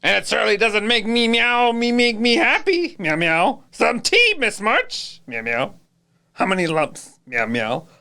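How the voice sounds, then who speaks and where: A woman voicing an awkward, polite young gentleman cat, hesitant and stammering, with meows between phrases. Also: on the high side, sing-song, a little slow, creaky, a little hiss or hum, full character voice. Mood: polite, stiff.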